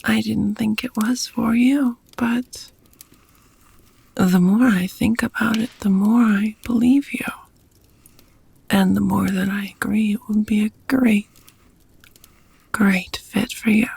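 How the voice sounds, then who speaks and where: A woman playing an affectionate, languid female vampire lover in an ASMR romantic roleplay, speaking softly in breathy whispers with slightly formal phrasing, close to the microphone. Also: medium pitch, steady tone, slow pace, long pauses, no room sound, exaggerated voice. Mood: earnest, fond. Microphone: close microphone, dry.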